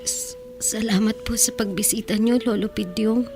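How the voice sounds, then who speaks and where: A woman playing a vulnerable, earnest young woman in love in a radio drama, with a soft, pleading delivery. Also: fairly high pitch, huge pitch swings, normal speed. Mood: apologetic. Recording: music bed behind voice.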